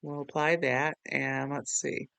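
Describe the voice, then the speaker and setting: A man hosting a software tutorial in a casual, rambling, self-deprecating way. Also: on the high side, little pitch movement, measured pace, gravelly, clean tone, dry recording. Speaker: tutorial host.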